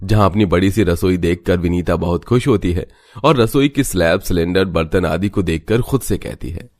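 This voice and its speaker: Guy with a low voice narrating a scripted story. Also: moderate pace, a little mellow, no echo, studio quality.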